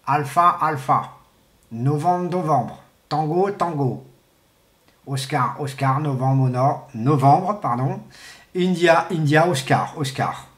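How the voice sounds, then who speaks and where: A middle-aged man giving an informal, unscripted explanation in a halting, colloquial way, repeating himself for emphasis, as an amateur radio hobbyist. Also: a little high. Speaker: amateur CB radio enthusiast presenting. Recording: echoey, roomy sound.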